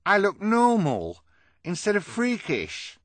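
A man voicing an earnest, gullible and excitable male carnival freak in an audiobook. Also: fairly high pitch, measured pace, no echo, pitched above the performer's own voice. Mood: joyful. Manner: earnest, wide-eyed, excitable.

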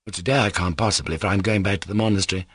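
A man reading an audiobook, voicing a gentle, guileless young man in a mild, sincere, plain-spoken way. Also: sits low, fairly even intonation, racing, breathy edge, dry recording, studio quality. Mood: earnest.

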